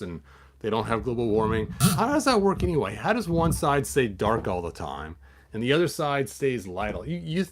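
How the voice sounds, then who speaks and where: Rambling chatty man reading a storybook. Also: medium pitch. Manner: rambling, chatty, many fillers and restarts. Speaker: toy collector channel host. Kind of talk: casual chat and reading a picture book aloud.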